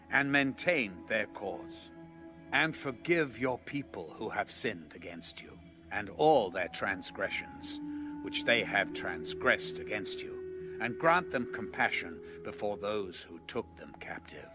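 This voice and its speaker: Solemn praying king, acted by a man, over music. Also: fairly low pitch. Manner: solemn, measured, reverent. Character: devout ancient king praying before his people. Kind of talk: dramatized audio Bible reading.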